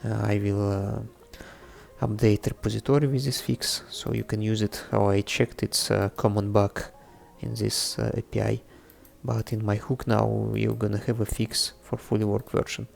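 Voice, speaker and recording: A native Russian-speaking man with a Russian accent presents a casual coding tutorial, thinking aloud in a halting way. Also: on the low side, flat delivery, vocal fry, darkish tone. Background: background music, typing on keyboard.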